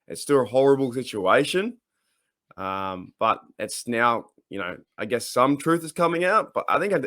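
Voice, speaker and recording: A man's voice: medium pitch, a bit bright, no room sound, clean studio sound. A man with an Australian accent hosting a casual sports podcast, talking in a rambling, hesitant way. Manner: rambling, hesitant, halting delivery. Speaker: podcast host.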